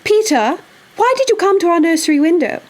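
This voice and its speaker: A woman's voice: very high voice, very animated, fairly quick, a little mellow. A woman playing a polite, eager young girl full of wonder in an audio drama. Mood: excited.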